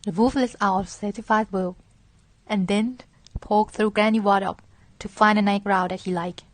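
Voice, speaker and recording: A woman's voice: medium pitch, fairly even intonation, moderate pace. A woman narrating a scripted children's story as moderator.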